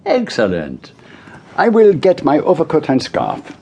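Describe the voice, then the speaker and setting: An actor playing a precise, patient, fussy detective with a French-speaking Belgian accent in a radio drama, husky and formal in manner. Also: male voice, a little high, huge pitch swings, heavily acted. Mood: decisive, cheerful. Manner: precise, measured, formal, gently teasing.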